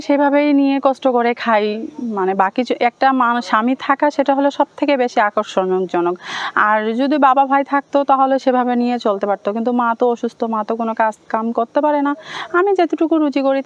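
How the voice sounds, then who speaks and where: A woman's voice: fairly high pitch, fast. A young woman with a Bangladeshi accent giving a plain personal account in an interview, pleading and repeating herself. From Thakurgaon, Bangladesh. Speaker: interview guest telling her life story. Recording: dull lo-fi sound.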